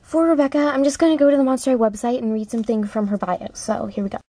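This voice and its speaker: A woman's voice: fairly high pitch, steady tone, fast talker, dark tone, dry recording, clean studio sound. A woman chatting casually and rambling to viewers as she introduces her amateur voice auditions. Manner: rambling, self-commenting.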